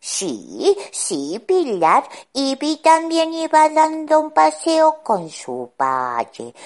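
A cheerful little boy with a Spanish accent rambles through his tale, as a woman voices him, repeating himself over and over. His voice sits high and swoops up and down in a bouncy sing-song. He takes his time, letting each phrase unspool slowly. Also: full character voice. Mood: chatty. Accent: Spain. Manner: rambling, retelling dialogue with lively repeats. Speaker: regular children's figure on a radio show. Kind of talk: children's radio storytelling chat.

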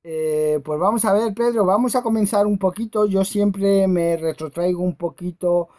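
A man's voice: high-pitched, normal speed, darkish tone. A man hosting a radio show, interviewing a guest in a conversational, informal way.

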